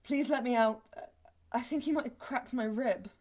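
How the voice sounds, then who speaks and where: A woman's voice: on the high side, moderate pace, a little mellow, natural voice. An actress playing an uneasy, frightened young woman pleading to get away in an audio drama. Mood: desperate, demanding. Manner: tense, swearing when pushed. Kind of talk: naturalistic audio drama acting.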